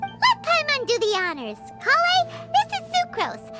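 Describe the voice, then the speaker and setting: A woman voicing a tiny, perky, pushy childlike fairy companion in a video game, squeaky and exclaiming, referring to herself in the third person. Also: huge pitch swings, measured pace, exaggerated voice. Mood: chirpy, eager. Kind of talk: video game character acting. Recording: loud jingly game music behind.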